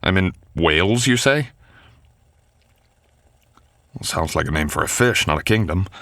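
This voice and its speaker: A man's voice: low-pitched, lively intonation, a little slow, fairly bright tone, no echo, heavily acted. A man voicing a young male knight, wounded, gallant and protective, speaking in an old-fashioned courtly way. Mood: bewildered. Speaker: voice actor. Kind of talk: boyfriend ASMR audio drama.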